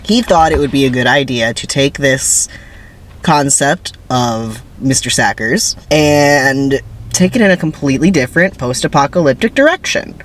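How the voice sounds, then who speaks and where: A man's voice: medium pitch, lively intonation, normal speed, a bit bright. A man giving casual, rambling commentary to viewers in a wry, conversational way with emphatic asides. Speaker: video creator introducing a dramatic script reading.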